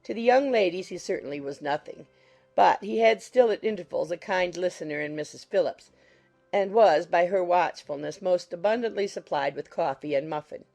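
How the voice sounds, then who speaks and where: A woman narrating a classic novel as a scripted audiobook, reading in a measured, literary manner. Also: fairly low pitch, gravelly, darkish tone, faint music behind.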